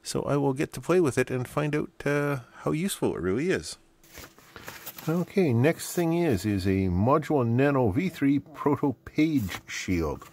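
A man's voice: mid-range voice, very animated, unhurried, darkish tone, a little hiss or hum. A middle-aged man giving casual unboxing commentary, rambling and thinking aloud as he goes. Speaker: host. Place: indoor hobby workbench.

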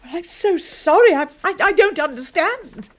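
An actress with an English accent playing an obsessive, murderous secretary in a 1970s radio horror drama, intense and easily flustered. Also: female voice, very high-pitched, expressive, a little slow, fairly bright tone, full character voice. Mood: nervous, pleading. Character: obsessive, murderous spinster secretary, timid before her boss.

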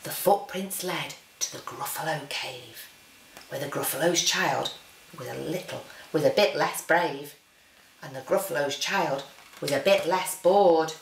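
A woman, a teacher reading a children's story aloud with dramatic, deliberate phrasing. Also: low voice, gravelly, recorded from a distance, a little hiss or hum. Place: living room, indoors. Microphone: camera a short distance away. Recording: roomy living-room echo.

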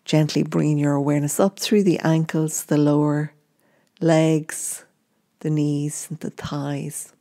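Female meditation guide. Deep, soft-toned delivery, very measured, with gentle phrase-by-phrase instruction. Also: flat delivery.

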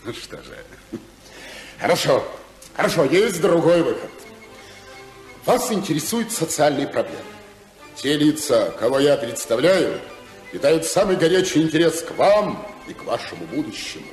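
Oily male fixer, insinuating, theatrical, very animated pitch, roomy old radio drama recording. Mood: ingratiating, persuasive. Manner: insinuating, theatrical, drawn-out phrasing with chuckles. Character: sly, oily male newspaperman acting as briber. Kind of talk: 1950s Soviet radio play acting. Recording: roomy 1955 radio recording, faint music.

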